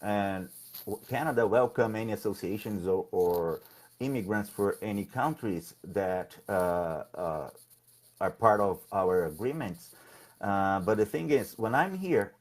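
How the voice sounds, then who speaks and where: A man from Brazil, a political candidate talking in a conversational interview, halting and often repeating his words. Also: fairly low pitch, animated.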